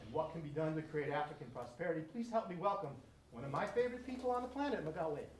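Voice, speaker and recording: A man's voice: a little high, lively intonation, very fast. Man introducing a speaker in an echoey room. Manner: admiring, reads a list of credentials. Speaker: event host introducing a speaker. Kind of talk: prepared introduction speech. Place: lecture room. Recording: roomy echo, distant mic, faint room noise.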